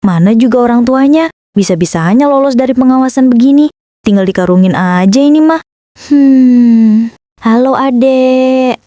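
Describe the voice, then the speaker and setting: Cartoon creep, sinister and muttering, a little high, dry, played by a woman. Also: normal speed, mellow, no room sound, natural voice. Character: creepy adult stranger eyeing a lost child. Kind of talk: cartoon voice acting.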